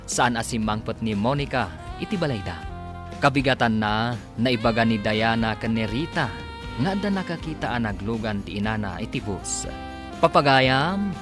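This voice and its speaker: A man with an Ilocano accent narrating as a radio drama announcer in a scripted, dramatic style. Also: medium pitch. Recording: music under narration.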